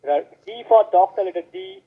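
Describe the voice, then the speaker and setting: A man cold-calling as a scam caller, pushing a scripted pitch and spelling letters out slowly over a phone line. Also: husky. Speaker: scam caller posing as support.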